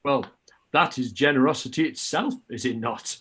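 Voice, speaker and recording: Man acting a husky, genial older gentleman. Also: mid-range voice, moderate pace. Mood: impressed. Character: genial, easily impressed older gentleman father.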